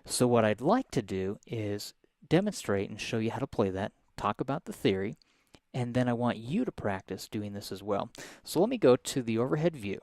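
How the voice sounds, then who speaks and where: A soft-spoken man, teaching a live piano lesson and explaining each step in a friendly way. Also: medium pitch, sing-song, normal speed.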